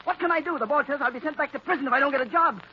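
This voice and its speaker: An actor playing a bitter, nervous paroled doctor in melodramatic 1940s radio style. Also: male voice, very high voice, rapid-fire. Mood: despairing. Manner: anguished, melodramatic. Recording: muffled, hissy old radio recording.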